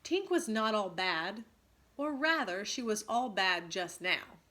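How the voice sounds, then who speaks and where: A woman teacher reading a story aloud expressively to students, pausing to ask them questions. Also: mid-range voice, lively intonation, pushed and bright.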